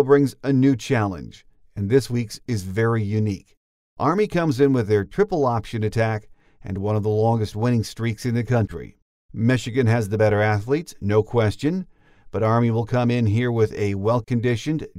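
A man's voice: mid-range voice, lively intonation, moderate pace, mellow, dry recording, clean studio sound. Older American man hosting a sports podcast. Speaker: podcast host. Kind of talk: sports podcast monologue and interview.

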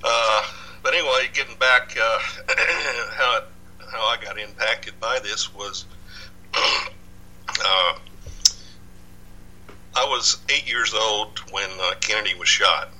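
Guest: older man, low voice, piercing bright tone, rambling casual delivery. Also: takes it slowly, long pauses, poor audio. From Dallas, Texas. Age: 60s. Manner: rambling, many fillers and restarts. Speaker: podcast guest, researcher. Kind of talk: casual interview.

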